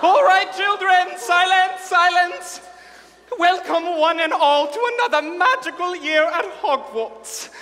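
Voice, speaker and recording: A man's voice: extremely high pitch, measured pace, bright, forceful tone, full character voice. A man playing a showy, grandiose school headmaster, making ceremonious, projected announcements in a comic staged reading. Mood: grand, ceremonious. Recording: echoing hall, light noise.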